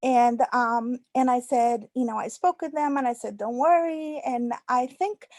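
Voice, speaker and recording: High school teacher lady, a bit high voice, dry sound, telling a story with lots of ums. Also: a little slow, a little mellow, no echo. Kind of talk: online panel talk.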